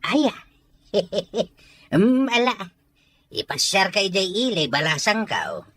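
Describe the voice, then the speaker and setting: A woman playing a teasing, hospitable older country man in an audio drama, with a drawn-out, coaxing delivery. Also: mid-range voice, sing-song, a bit bright, slightly rough, heavily acted. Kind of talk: Ilocano audio drama acting.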